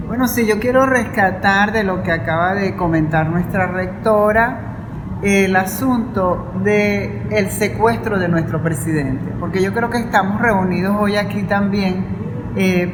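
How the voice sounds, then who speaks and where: A woman with a Venezuelan Spanish accent giving an earnest, formal panel speech as a university academic, repeating phrases for emphasis. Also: medium pitch. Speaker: university professor and academic vice-rector. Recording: echoey hall with loud background noise.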